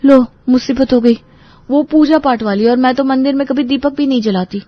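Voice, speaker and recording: A woman's voice: high voice, moderate pace, no echo, voice pushed up, natural voice. A woman voicing a playful young bride-to-be in a family audio drama, with slightly dull sound. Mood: worried.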